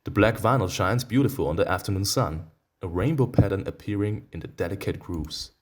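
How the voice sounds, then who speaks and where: A man reading a visual novel aloud as a let's-play host, breaking off into expressive, teasing reactions, with guitar music behind him. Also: on the low side, brisk.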